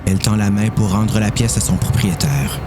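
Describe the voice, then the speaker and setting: A man with a Quebec French accent reading a suspense story in a measured, deliberate way as the narrator of an audio drama. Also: on the low side, little pitch movement, over music.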